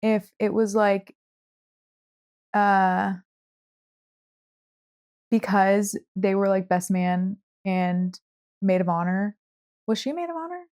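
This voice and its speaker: A woman's voice: mid-range voice, slow pace, pauses often, a little mellow, smooth. A woman co-hosting a podcast, talking casually and conversationally with plenty of "like" sprinkled in. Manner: conversational, heavy use of "like". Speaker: podcast co-host. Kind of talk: casual book chat.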